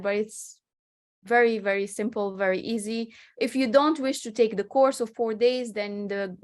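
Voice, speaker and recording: A woman, answering interview questions over a video call in a chatty, explanatory way with many restarts. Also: mid-range voice. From Morocco. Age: 30s. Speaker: guest real estate agent. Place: home with a city skyline behind.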